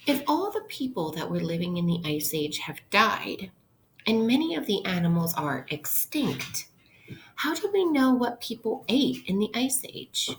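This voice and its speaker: A woman's voice: mid-range voice, sing-song, measured pace, slight background noise, poor audio. A woman teacher reading aloud to children in an instructional way, explaining things simply. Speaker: elementary school teacher. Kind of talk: interactive read-aloud lesson for children.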